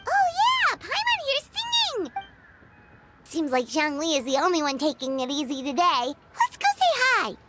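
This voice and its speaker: A woman voicing a small, excitable, childlike fairy companion in a video game, chirpy, cheerful and curious. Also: extremely high pitch, moderate pace, over loud music, heavily acted. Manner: exclamatory, chirpy, refers to herself by name. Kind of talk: video game character dialogue.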